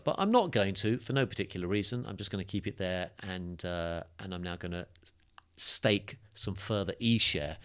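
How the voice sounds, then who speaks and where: A man teaching a crypto course, walking through a screen-share tutorial in a chatty, upbeat way with fillers, his voice a little worn. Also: deep voice. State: slightly losing his voice, coughing.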